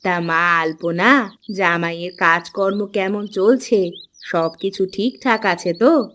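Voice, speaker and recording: A woman's voice: medium pitch, takes it slowly, fairly bright tone. A woman playing a caring, inquisitive middle-aged village mother in a cartoon folk tale. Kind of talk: Bengali animated folk-tale dialogue. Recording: clear background noise, chirping birds.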